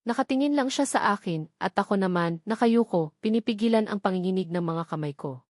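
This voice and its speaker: A woman's voice: mid-range voice, expressive, fairly quick, a little mellow, no room sound, studio quality. A woman reading a scripted first-person love story as a dramatic narrator. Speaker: narrator of a romance audio drama.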